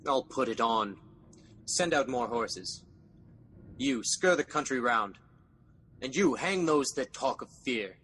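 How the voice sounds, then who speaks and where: An actor playing an embittered, defiant tyrant king in a Shakespearean audio drama, declaiming between hushed brooding and roars. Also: medium pitch, expressive, takes it slowly, long pauses, slight background noise. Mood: defiant, commanding.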